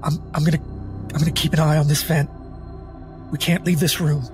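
A man playing a tabletop roleplaying game, improvising his character's actions in a halting way, searching for words. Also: on the high side, level tone. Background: background music.

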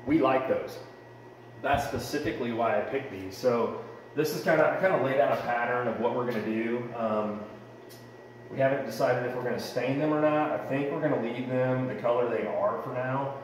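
A man's voice: medium pitch, moderate pace, recorded from a distance, slight background noise. A man in his thirties casually walking viewers through a DIY woodworking project, chatty and rambling, in a large unfinished basement. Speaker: home renovation vlogger. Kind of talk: casual how-to explanation. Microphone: camera-mounted mic, a few steps away. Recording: roomy echoey basement, voice off-mic.

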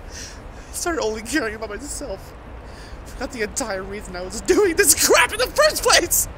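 A man playing a grieving famous musician in an audio drama, guilt-ridden, defensive and bitter, speaking in a halting confessional way. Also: very high voice, background noise, exaggerated voice. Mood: tearful, anguished outburst. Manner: halting, confessional, sighing pauses. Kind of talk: dramatic audio roleplay monologue.

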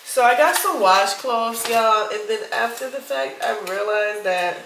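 A woman's voice: on the high side, measured pace, creaky, echoey room, noisy background. A woman of about thirty with a stuffy nose, chatting casually to viewers about a shopping haul in her apartment. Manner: chatty, addresses viewers as y'all. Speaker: vlogger.

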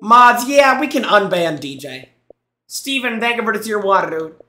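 A man's voice: high voice, big pitch swings, moderate pace, pushed and bright, echoey room, faint music behind. A young man streaming on a headset mic in a small room, reacting to gameplay clips with teasing jokes and thanking his chat. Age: young adult. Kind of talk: casual live-stream commentary.

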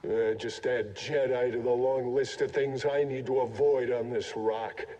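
A man's voice: mid-range voice, steady tone, measured pace, dark tone, natural voice. A man playing a cynical, self-interested mercenary raider in a video game, grumbling in sardonic, colloquial speech. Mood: wary. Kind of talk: video game character dialogue. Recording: reverberant game capture, faint noise.

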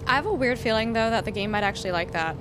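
A woman's voice: a little high, expressive, rapid-fire, noisy background. A young woman streaming a flight game from home, chatting with viewers in a chatty, self-conscious way and laughing often. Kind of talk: casual chat with viewers while gaming. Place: home streaming room, indoors. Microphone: desk condenser mic.

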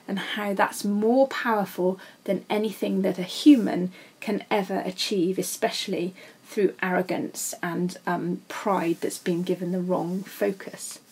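A woman's voice: mid-range voice, a little mellow, slightly rough, poor audio. A woman giving an emphatic, explanatory lesson on a poem, line by line. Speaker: English literature teacher.

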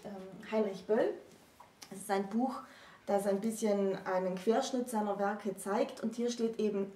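A woman in her thirties explaining literary history in a conversational, deliberate lecture style as a co-presenter. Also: mid-range voice, warm tone. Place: small indoor room with bookshelves. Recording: roomy echo, distant microphone.

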